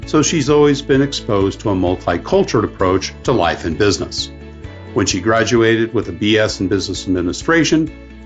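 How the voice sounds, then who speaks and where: Male podcast host, low voice, measured and a little halting, dull lo-fi sound with faint music under the intro. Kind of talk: scripted intro then interview questions.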